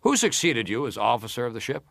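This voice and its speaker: A man's voice: medium pitch, sing-song, creaky, a bit bright, dry recording, full character voice. Persistent male senator interrogating, dry sound. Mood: probing, insistent. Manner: deliberate, formal, pressing questions. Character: probing, persistent senator questioning a witness. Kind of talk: dramatised reading of hearing interrogation.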